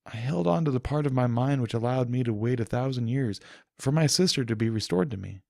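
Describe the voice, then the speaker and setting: A man voicing an ancient, regal female pony princess in an audiobook, with formal, measured, gentle and patient speech. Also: mid-range voice, vocal fry, no echo, clean studio sound, pitched above the performer's own voice. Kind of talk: dramatic audiobook character voice.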